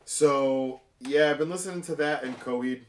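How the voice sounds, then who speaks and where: A man's voice: mid-range voice, normal speed, distant mic. An American man hosting a casual podcast chat, talking in a slangy, rambling way. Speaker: host. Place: indoor room full of display cabinets. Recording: echoey room.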